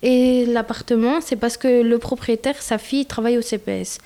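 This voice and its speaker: Young Belgian woman, mellow voice. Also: a little high, steady tone, normal speed. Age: 20s. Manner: candid, hesitant, with many fillers. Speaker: guest. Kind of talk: casual conversation.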